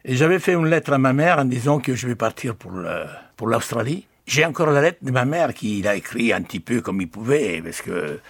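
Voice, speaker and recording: Guest, 80s, Calabrian-accented man, rough husky voice. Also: mid-range voice, big pitch swings, moderate pace, few pauses, no room sound. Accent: Calabrian Italian. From Calabria, Italy. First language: Italian. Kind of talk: casual storytelling.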